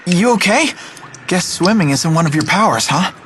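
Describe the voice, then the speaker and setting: An actor voicing an earnest, helpful teenage boy hero in a fantasy game dub, youthful and expressive. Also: mid-range voice, moderate pace, background noise, lightly acted. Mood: concerned, helpful.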